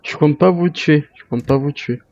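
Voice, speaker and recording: A man improvises in a game roleplay with a suspicious, commanding manner. His voice is soft-edged and a little high. It comes through a dull, lo-fi voice chat. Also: normal speed. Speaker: gamer in a zombie survival roleplay.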